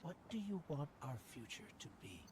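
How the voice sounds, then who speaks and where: An actor voicing a small, earnest robot companion in a game cutscene, speaking gently and reflectively. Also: male voice, fairly high pitch, sing-song, moderate pace, background noise.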